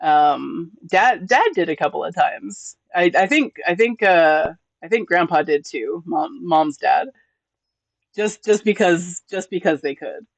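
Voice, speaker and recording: A man from California and New Mexico chatting on a live stream while reading chat, halting with many restarts and frequent laughs. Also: high voice, lively intonation, creaky, dry recording, poor audio. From born in California, raised in New Mexico. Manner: laughs often, easygoing.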